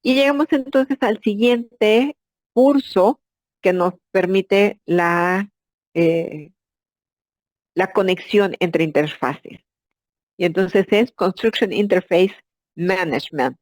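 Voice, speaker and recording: A woman's voice: mid-range voice, slow, darkish tone, no room sound. A woman, an architect, giving an explanatory masterclass lecture and asking herself rhetorical questions. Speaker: architect and instructor.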